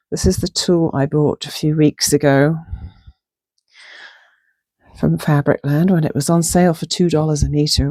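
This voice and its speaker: A middle-aged woman chatting casually through a sewing project in her home sewing room, hoarse from a chest virus, upbeat and friendly. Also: low voice, a little mellow, dry recording. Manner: chatty and upbeat, talks while working. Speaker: sewing vlogger. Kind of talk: casual craft tutorial talk. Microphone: clip-on lapel mic.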